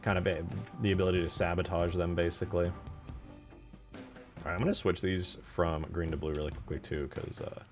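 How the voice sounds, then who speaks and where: Low-voiced, laid-back man streaming. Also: level tone, normal speed, over music. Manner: laid-back, muttering asides to himself, casual swearing. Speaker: game modder streaming his work. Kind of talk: casual live stream commentary.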